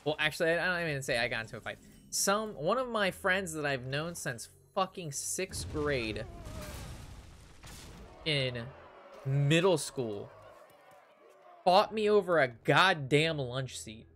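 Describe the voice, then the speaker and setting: A man's voice: on the high side, animated, unhurried, pauses often, fairly bright tone. A young American man telling a casual, rambling story to his stream chat, swearing freely and exaggerating for comic emphasis. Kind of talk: casual anecdote told to chat while gaming.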